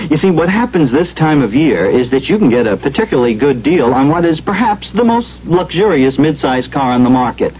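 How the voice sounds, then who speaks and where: An actor playing an earnest, polite car pitchman in a comic radio advert. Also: very animated, fairly quick. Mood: amiable, flustered. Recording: dull, slightly noisy old radio broadcast.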